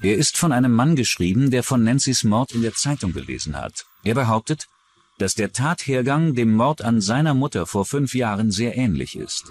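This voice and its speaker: A man's voice: medium pitch, animated, normal speed. A man narrating a scripted true-crime documentary as its narrator.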